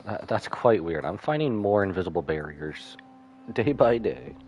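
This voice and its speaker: A man's voice: deep voice, lively intonation, normal speed, a little mellow, muffled recording, a little hiss or hum. A man livestreaming a video game with casual commentary, musing aloud to himself as he plays. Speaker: livestreaming gamer. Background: game music.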